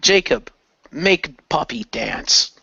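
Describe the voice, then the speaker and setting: A man's voice: fairly high pitch, big pitch swings, slow pace, gravelly, pushed and bright, slightly rough. A man playing in an improvised radio play, narrating his character's moves and speaking the lines. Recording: dull lo-fi voice recording.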